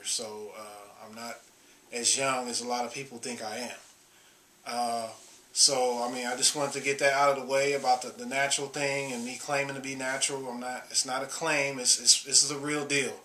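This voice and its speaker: A man's voice: mid-range voice, bright, forceful tone, roomy sound. A man in his late thirties, a bodybuilder, talking casually to his viewers with lots of "uh" and restarts. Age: 30s. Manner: halting, many fillers and restarts. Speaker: bodybuilder vlogger. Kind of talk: casual talk to camera.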